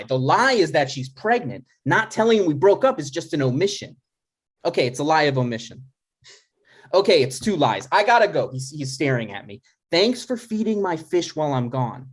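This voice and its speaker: Man playing an exasperated, animated grown son. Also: a little high. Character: exasperated grown son, thirty-six, scheming and defensive. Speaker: writer and actor. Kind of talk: live comedy table read.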